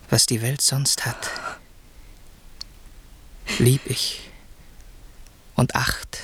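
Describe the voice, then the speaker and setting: An actor playing a noble, ardent young prince in love in a 1960s German radio play, lyrical and courtly. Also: male voice, medium pitch, slightly rough, heavily acted. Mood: fervent, devoted.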